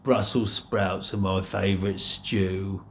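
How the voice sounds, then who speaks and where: A man voicing a huge, greedy male giant with a gruff, booming manner. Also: flat delivery, slow pace, a little hiss or hum, heavily acted.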